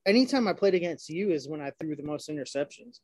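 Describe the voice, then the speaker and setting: A man's voice: fairly high pitch, expressive, fast talker, no echo. A young man co-hosting a casual podcast, rambling, swearing freely and laughing often as he tells stories. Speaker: podcast co-host. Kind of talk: casual chat.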